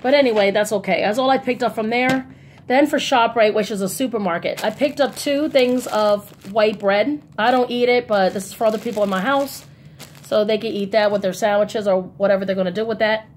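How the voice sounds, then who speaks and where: A woman's voice: mid-range voice, a little fast, a little hiss or hum. A woman chatting casually and informally in a rambling style, as a vlogger showing off her shopping. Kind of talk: casual haul vlog chat.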